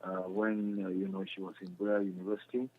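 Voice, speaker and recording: A man with a Cameroonian accent answering interview questions in a halting, repetitive, earnest explaining way. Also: deep voice, flat delivery, slight background noise. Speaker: interview guest, stage actor. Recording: muffled lo-fi radio phone call.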